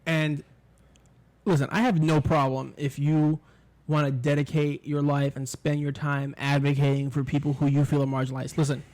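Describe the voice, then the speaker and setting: A man's voice: on the high side, level tone, normal speed, a little mellow. Opinionated New York man, casual chat. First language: English. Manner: swearing, opinionated, rambling with restarts. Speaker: podcast co-host.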